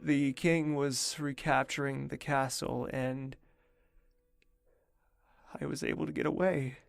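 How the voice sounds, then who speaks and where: An actor playing a kind, modest young prince who speaks softly. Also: male voice, medium pitch, steady tone, slow pace, vocal fry, smooth, no echo, faint music behind. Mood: somber. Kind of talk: audio drama acting.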